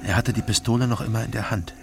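Gravelly, brooding detective narrator. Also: male voice, lowish voice, steady tone, over music. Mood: tense recollection. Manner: measured, reflective, in short plain phrases. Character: sober, brooding male private detective narrating his captivity. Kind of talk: first-person radio drama narration.

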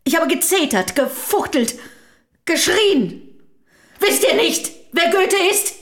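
A proud, measured middle-aged wife. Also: female voice, very high-pitched, very animated, heavily acted. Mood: agitated, indignant. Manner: measured, formal period diction. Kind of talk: German radio play dialogue.

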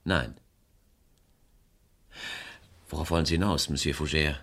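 A man's voice: a bass voice, fairly even intonation, fast, a bit bright, heavily acted. An actor playing a troubled, defensive man under suspicion in a German radio crime drama, hesitant and agitated when pressed. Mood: alarmed, wary.

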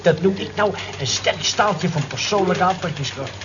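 A noisy, dull old radio play recording of a fairly high male voice. a cheeky office colleague who prods and teases with wry banter. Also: animated, moderate pace. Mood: teasing. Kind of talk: Dutch radio drama acting.

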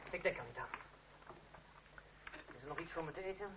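An actor playing a cool, sardonic theatre player from revolutionary France in a muffled old Dutch radio play. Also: male voice, a little high, fairly quick, very noisy, lightly acted. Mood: dry. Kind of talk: radio drama acting in Dutch.

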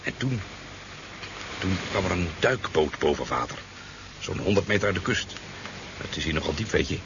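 Low-voiced rambling fisherman. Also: expressive, normal speed. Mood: earnest. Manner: hesitant, rambling storytelling with stammers. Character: talkative, easygoing sport fisherman, a boozy eyewitness. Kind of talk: 1970s Dutch radio drama acting. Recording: dull, noisy 1970s radio recording.

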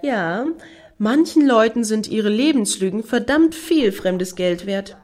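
A woman's voice: fairly high pitch, animated, takes it slowly, a little mellow. An actress in a radio play voicing a blunt, sardonic woman with a dry, needling, colloquial way of talking. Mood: scornful. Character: blunt, sardonic woman friend of a devoted fan.

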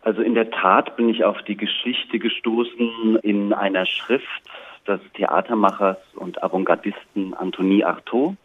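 Phone-like, low-voiced man in thoughtful interview. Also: steady tone, moderate pace, husky. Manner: thoughtful, articulate, considered phrasing. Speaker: interview guest, playwright and director. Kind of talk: reflective interview talk. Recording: tinny, narrow phone-like line.

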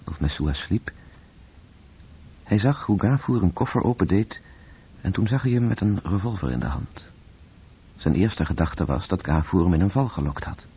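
An actor playing a sombre, detached storyteller, narrating a radio play in a very husky literary voice. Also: male voice, low-pitched, animated, normal speed, pauses often, full character voice. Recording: muffled, phone-like old radio recording.

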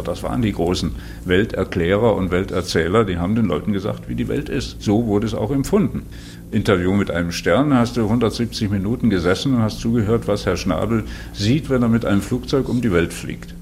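A low-pitched man speaks conversationally, like a radio maker discussing his craft. Faint noise sits behind his voice. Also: darkish tone. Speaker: radio feature maker and interviewer.

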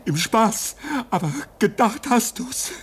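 A man's voice: sits high, lively intonation, slow pace, husky, heavily acted. Frantic, pleading young man, wildly swinging voice. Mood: agitated, bitter. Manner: pleading, overwrought, theatrical. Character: desperate, vain young man stranded in a polar station. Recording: noisy old radio drama recording.

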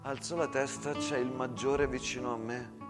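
A man narrating a theatrical monologue in short, measured phrases with dramatic pauses. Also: medium pitch, fairly even intonation. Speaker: actor-storyteller. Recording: long reverb, loud guitar music behind. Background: plucked guitar music.

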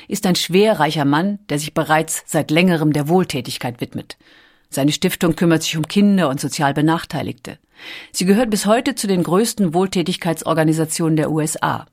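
A woman's voice: deep voice, moderate pace, a little mellow. A woman reading scripted documentary narration as a narrator.

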